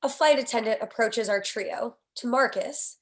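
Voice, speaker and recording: Higher-pitched woman reading stage directions. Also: normal speed. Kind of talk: scripted narration of screenplay directions.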